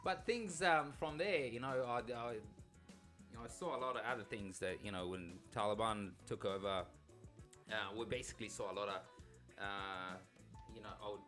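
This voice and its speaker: A man's voice: on the low side, moderate pace, fairly bright tone. Informal man from Afghanistan, music behind him. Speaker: podcast co-host. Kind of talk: casual personal storytelling.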